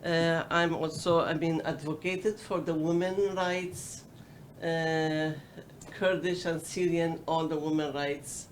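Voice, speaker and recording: A woman, a political council's representative, introducing herself at a meeting with many pauses and fillers. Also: low voice, fairly even intonation, a little hiss or hum.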